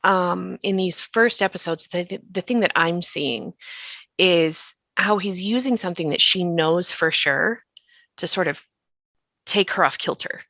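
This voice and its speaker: A woman's voice: fairly low pitch, unhurried, sharp tone. A woman in a reflective conversation, explaining her thoughts carefully and repeating points for emphasis. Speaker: podcast creator and co-host. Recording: slightly muffled, roomy sound.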